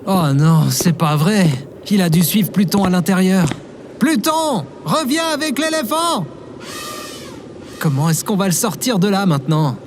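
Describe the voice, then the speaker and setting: Flustered, high-voiced human leader played by a man. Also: animated, a little slow, noisy background. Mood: exasperated. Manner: incredulous, easily flustered. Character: exasperated, flustered human leader, reluctant and whiny. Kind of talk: comedic audio drama acting.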